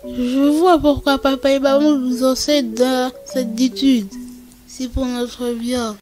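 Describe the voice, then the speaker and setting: A woman's voice: sits high, flat delivery, slow pace, dark tone, faint music behind. A woman voicing an earnest, insistent young grandchild in a children's cartoon.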